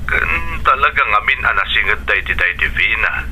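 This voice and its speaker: A man's voice: fairly low pitch, normal speed, sharp tone, a little hiss or hum, low-quality recording. A man playing a concerned, placating husband and father in a Philippine radio drama, speaking earnestly.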